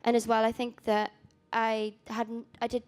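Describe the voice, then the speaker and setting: A woman's voice: on the high side, monotone, measured pace. A young woman in her twenties, a native Welsh speaker, presenting her magazine at a panel talk in a casual, rambling way full of ums and restarts. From Wales. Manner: casual, rambling with many ums and self-corrections. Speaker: magazine founder giving a talk.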